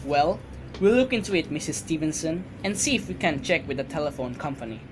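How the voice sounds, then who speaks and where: A woman's voice: sits low, lively intonation, a little fast. A woman playing a weary, dismissive police desk sergeant in an amateur radio drama, brusque and patronizing. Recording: faint background noise.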